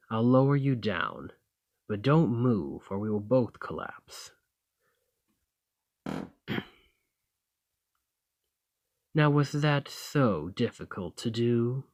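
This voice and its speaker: A man's voice: on the low side, no room sound, studio quality, exaggerated voice. A man playing a dominant, haughty fantasy thane in an ASMR roleplay, commanding and formal with a condescending, teasing edge. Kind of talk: ASMR roleplay acting, slow and intimate.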